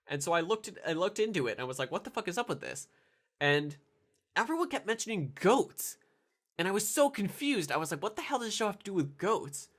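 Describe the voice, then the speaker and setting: A man's voice: high voice, big pitch swings, fairly quick, fairly bright tone. A congested young man with a nasal voice, rambling casually through anime commentary with lots of fillers and restarts. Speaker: anime video reviewer.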